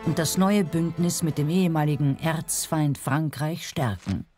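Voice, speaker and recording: A woman's voice: low-pitched, normal speed, a little mellow, faint music behind. A woman reading scripted narration as a documentary narrator.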